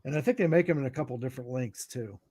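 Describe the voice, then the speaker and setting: A middle-aged American man giving informal explanations in a halting way, as if thinking aloud. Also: mid-range voice, warm tone, a little hiss or hum. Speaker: guest radio expert.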